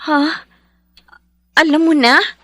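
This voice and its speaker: A woman's voice: high-pitched, steady tone, slow pace, a bit bright, dry recording, clean studio sound. A woman playing a chatty, concerned young best friend in a Filipino radio drama, with theatrical, exclamatory reactions. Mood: concerned surprise.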